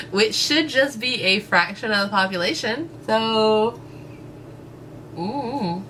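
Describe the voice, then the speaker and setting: Slow bright-voiced East Texas woman. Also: mid-range voice, a little hiss or hum. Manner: relaxed, hesitant, many fillers and small laughs. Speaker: YouTuber answering fan questions. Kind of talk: casual Q&A chat.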